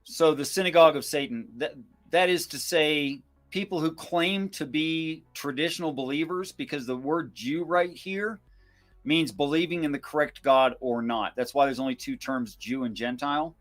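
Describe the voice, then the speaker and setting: A man giving informal scripture commentary and reading verses aloud in a measured, explanatory way. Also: on the high side, fairly bright tone, soft background music. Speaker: Bible discussion co-host.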